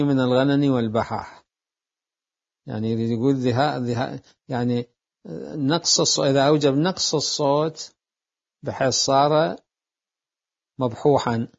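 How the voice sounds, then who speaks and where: A man giving a deliberate jurisprudence lecture, repeating phrases as he explains. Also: medium pitch. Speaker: seminary jurisprudence teacher. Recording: dull lo-fi lecture recording.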